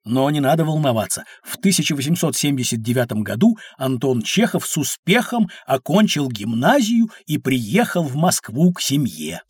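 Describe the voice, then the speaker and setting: An actor playing a kindly, knowledgeable uncle with a husky voice, narrating history for children in an educational audio drama. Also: male voice, medium pitch, sing-song, normal speed, hardly any pauses, no room sound, clean studio sound. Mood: explaining, reassuring. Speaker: storyteller guide for children.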